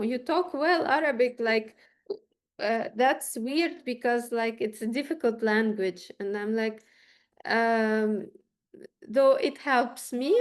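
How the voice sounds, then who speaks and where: High-voiced woman with a Ukrainian accent. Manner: halting, searching for words, chuckles as she talks. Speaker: interview guest, musician and choir teacher. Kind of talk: casual interview answers.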